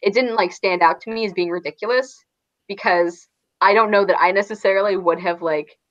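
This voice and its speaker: A woman's voice: medium pitch, a little fast, a bit bright, dull audio. A woman in a casual, rambling book discussion, peppering her sentences with "like". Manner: rambling, frequent "like". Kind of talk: casual chat about books.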